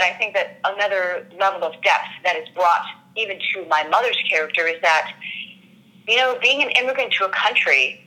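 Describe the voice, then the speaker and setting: An actress in an interview, talking through her character in an earnest, reflective way and often pausing to search for words. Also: medium pitch, bright, forceful tone, rough recording. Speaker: actress discussing her role. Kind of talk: interview answers.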